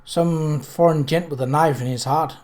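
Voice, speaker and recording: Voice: medium pitch, steady tone, normal speed, a little mellow, lightly acted. A reader voicing a timid, fretful young maid in an audiobook, with plaintive servant speech. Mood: eager gossip. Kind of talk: acted audiobook dialogue.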